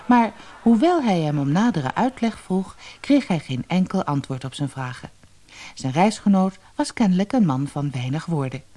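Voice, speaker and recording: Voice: medium pitch, dark tone. A narrator reading a storybook expressively and dramatically for an audiobook, on an old cassette recording.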